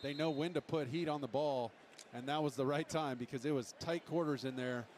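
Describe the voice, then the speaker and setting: A man's voice: a little high, brisk, darkish tone. A man giving colloquial, emphatic football analysis as a broadcast color commentator. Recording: noisy stadium ambience behind broadcast.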